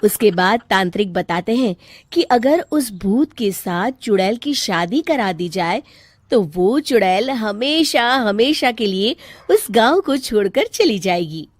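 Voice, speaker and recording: A woman's voice: medium pitch, expressive, unhurried, dry recording. A woman reading scripted narration for a cartoon folk tale.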